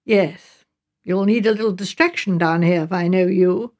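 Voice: medium pitch, normal speed, darkish tone, lightly acted. A woman voicing a stern, dry and protective brother who admonishes, in a storybook reading. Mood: dry, teasing.

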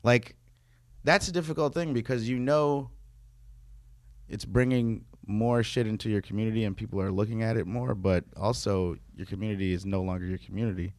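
A man with a lowish, gravelly voice who chats loosely and jokingly, dropping plenty of fillers. His voice sounds dry and close, with almost no room around it. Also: fairly even intonation, brisk, darkish tone, dry recording. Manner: loose and joking, lots of fillers, laughs between lines. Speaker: guest. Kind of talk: casual chat.

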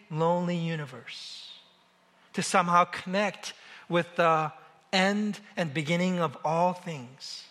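A man in his forties from South Korea delivers a sermon in a bright, forceful voice that sits a bit high for a man. He speaks in a measured, emphatic way and lingers on each word when he lists things. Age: 40s. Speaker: pastor.

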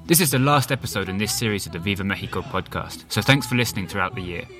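A man's voice: fairly low pitch, lively intonation, fast talker, sharp tone, faint music behind. A man co-hosting a news podcast, presenting from a script. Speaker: podcast co-host.

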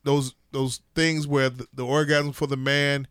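A man's voice: medium pitch, flat delivery, a little slow, a bit bright, clear, resonant tone, no room sound, clean studio sound. A man hosting a casual podcast, asking probing, playful questions. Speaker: podcast host.

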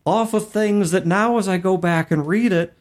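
A man's voice: sits high, moderate pace. A middle-aged man hosting a podcast, talking conversationally and emphatically, often listing words one by one for effect. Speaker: podcast host. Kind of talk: conversational commentary on tabletop gaming.

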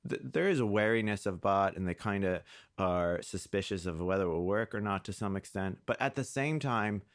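Low-voiced man explaining, dry close sound. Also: no room sound. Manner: halting, explanatory, quotes imagined dialogue. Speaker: interview guest, product manager. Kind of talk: conversational explanation in an interview.

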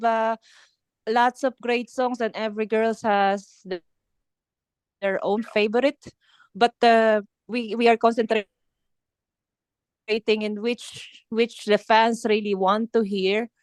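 A young woman with a Filipino accent, a singer answering interview questions in halting English, with many pauses and restarts. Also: a little high, steady tone, clean tone, no room sound. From Philippines. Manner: halting, searching for words, many fillers and restarts. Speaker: band singer being interviewed. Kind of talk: casual interview chat.

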